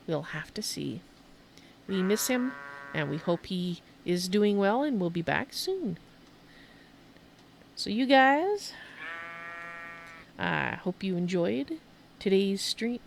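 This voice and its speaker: A woman's voice: medium pitch, a little hiss or hum. A woman streamer giving casual video game commentary, rambling and thinking aloud as she goes.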